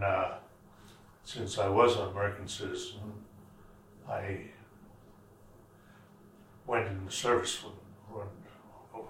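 A man in his eighties who grew up in Germany, slowly and haltingly recounting his early life in an oral history interview at home. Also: lowish voice, a little hiss or hum. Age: 80s. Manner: halting, searching for words. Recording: roomy, from far off.

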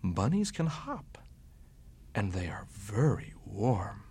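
A man voicing a great silver male wolf who speaks slowly, gently and deliberately. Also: lowish voice, sing-song, breathy edge, full character voice. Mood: gentle.

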